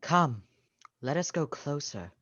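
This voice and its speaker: Slow, solemn ghost played by a woman. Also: deep voice, expressive, fairly bright tone, no echo, exaggerated voice. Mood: grave, guiding. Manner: measured, stately. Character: solemn, ageless childlike ghost of the past. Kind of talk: 1930s radio play acting. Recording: dull lo-fi video call audio.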